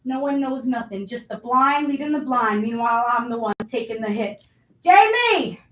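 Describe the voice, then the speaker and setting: Actress as brash impatient restaurant boss, high female voice, blunt and badgering, staged play reading, roomy echo. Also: expressive, moderate pace, a bit bright, slight background noise. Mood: angry, demanding. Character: abrasive, impatient, foul-mouthed restaurant boss.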